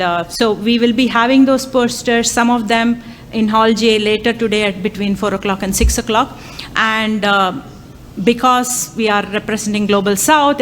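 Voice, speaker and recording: A woman's voice: fairly high pitch, slight background noise. A woman whose first language is Tamil, an AI lecturer and workshop chair, speaking live at a conference with many ums, uhs and restarts. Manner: hesitant, many fillers and restarts. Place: conference hall.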